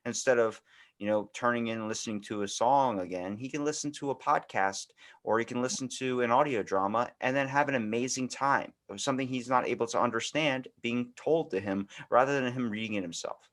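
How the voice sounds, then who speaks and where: A man's voice: mid-range voice, fairly quick, a bit bright. An American man hosting a podcast, talking in a casual, rambling conversational way. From United States. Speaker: podcast host.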